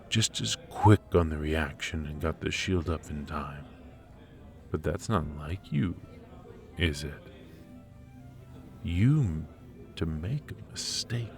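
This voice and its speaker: A menacing dark warlord, played by a man, speaks in a profoundly deep voice. He drags out his words very slowly, silky and taunting, as a theatrical villain in an intimate ASMR fantasy roleplay. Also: full character voice. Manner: theatrical, taunting, drawn-out delivery with sighs and soft chuckles.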